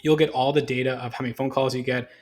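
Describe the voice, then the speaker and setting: A man's voice: medium pitch, flat delivery, quick pace. A man giving a casual, unscripted screen-share tutorial as a marketing consultant, talking through examples in a conversational, explanatory way.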